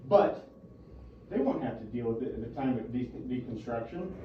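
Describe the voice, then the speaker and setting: A man's voice: medium pitch, lively intonation. Distant echoing man in his thirties. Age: 30s. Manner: halting, stammering restarts. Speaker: resident speaking at a county meeting. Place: county meeting room. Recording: echoey, far from mic, dull, noisy.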